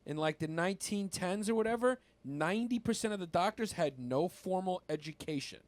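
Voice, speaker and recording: A man's voice: high-pitched, lively intonation, fairly quick, no room sound. A man whose first language is Greek, co-hosting a comedy history podcast in a rambling, casual way, often stumbling over words. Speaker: podcast co-host.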